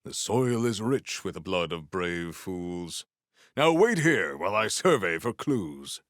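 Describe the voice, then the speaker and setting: Deep, stern, noble warrior. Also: male voice, dry recording, clean studio sound, full character voice. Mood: solemn. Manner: formal, grandiose, deliberate, deadpan. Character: noble, stern warrior vault hunter, formal and earnest. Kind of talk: theatrical video game character acting.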